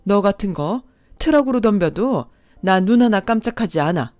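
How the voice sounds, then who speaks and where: A woman's voice: medium pitch, lively intonation, normal speed, no echo, faint music behind, close to an everyday voice. A woman voicing a hot-tempered older mother who fiercely scolds a man to protect her daughter. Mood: stern. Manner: scolding, loud and forceful.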